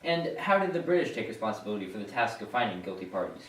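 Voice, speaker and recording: A man's voice: fairly low pitch, very animated, fast, fairly bright tone. A young man reading a scripted news report as a student anchor. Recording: echoey room, distant mic.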